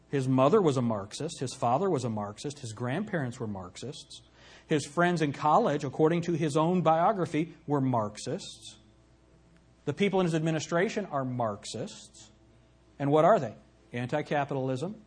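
A man preaching a sermon from the pulpit, emphatic, swinging from loud peaks to low, quiet lines. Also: lively intonation, moderate pace, husky. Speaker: pastor.

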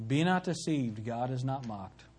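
A man preaches from the pulpit in a husky, slightly breathy voice of ordinary pitch. He drives his points hard, climbing to loud emphatic peaks and then dropping to low, quiet lines. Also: expressive, a little slow. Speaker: pastor. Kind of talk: sermon.

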